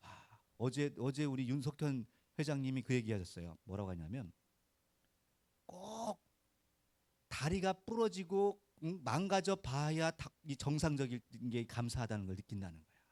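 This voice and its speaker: Animated male pastor preaching conversationally. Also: medium pitch, moderate pace, no room sound. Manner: asks rhetorical questions and prompts listeners for answers; folksy, informal, punchy short phrases. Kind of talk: conversational Sunday sermon.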